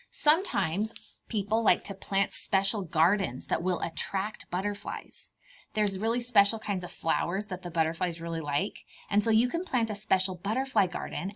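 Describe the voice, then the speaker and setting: A woman hosting a read-aloud lesson for preschoolers, explaining things simply and expressively and putting questions to her young listeners. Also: medium pitch. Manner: expressive, emphasizing key words for small children. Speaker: children's read-aloud host and preschool teacher.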